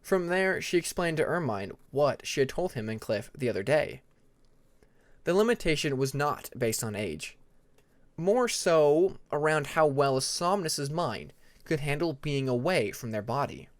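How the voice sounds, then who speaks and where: A man's voice: a little high, big pitch swings, measured pace, no echo. A man reading a scripted story aloud as a dramatic audiobook narrator. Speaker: audiobook narrator of fan fiction.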